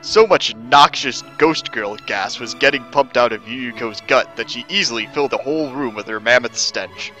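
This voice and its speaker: A man narrates a story in a bright, forceful voice over music. His delivery is theatrical and mock-serious, like a formal dramatic reading. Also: mid-range voice, moderate pace, few pauses, rough recording. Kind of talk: scripted dramatic reading of fan fiction.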